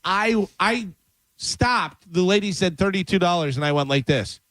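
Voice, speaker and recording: A middle-aged man from Chicago hosting a radio show, telling animated stories and reading headlines between jokes. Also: high-pitched, moderate pace, dry recording. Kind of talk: casual morning radio banter. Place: radio studio. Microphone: studio microphone.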